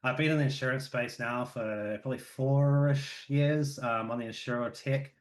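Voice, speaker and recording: A man from New Zealand with a New Zealand accent, chatting casually as a podcast guest, relaxed and full of fillers. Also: mid-range voice. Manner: relaxed, filler-heavy, with quick asides.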